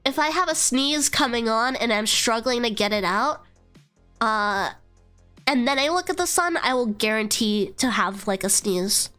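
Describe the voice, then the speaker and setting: A woman's voice: on the high side, expressive, unhurried, pushed and bright, clear, resonant tone, faint music behind. A young woman streamer with a nasal voice and a stuffy cold, rambling casually, swearing freely and trailing into fillers. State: congested from a cold.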